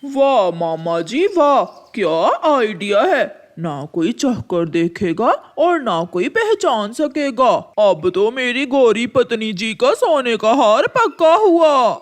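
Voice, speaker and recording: A woman acts out a greedy male crow shopkeeper for a cartoon. He drawls slowly in a highish voice, wheedling and scheming with exaggerated swings. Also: sing-song. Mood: gleeful scheming. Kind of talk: Hindi cartoon character acting.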